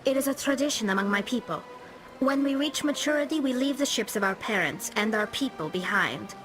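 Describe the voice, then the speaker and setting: Young woman doing an earnest alien engineer girl, kinda high, game cutscene. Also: lively intonation, a little fast, over music, close to an everyday voice. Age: young adult. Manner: earnest, explaining.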